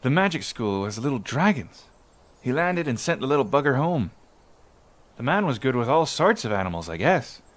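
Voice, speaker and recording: A man's voice: mid-range voice, sing-song, moderate pace, natural voice. A man playing an island villager in an ASMR roleplay, recalling his childhood in a folksy, musing, conversational way. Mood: animated reminiscing. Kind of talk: ASMR roleplay storytelling monologue.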